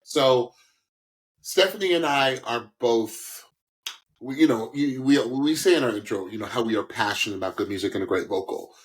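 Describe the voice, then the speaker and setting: A man co-hosting a music podcast, giving casual, opinionated commentary on singers in a halting way. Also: mid-range voice, animated, rough recording. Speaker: podcast co-host, singing critic.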